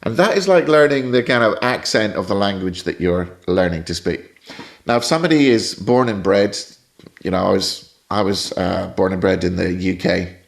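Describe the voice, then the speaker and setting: A man's voice: low voice, animated, normal speed, vocal fry. A man from Scotland with a British accent, a guest jazz saxophone teacher giving thoughtful, explanatory answers in a podcast interview and wandering off on tangents.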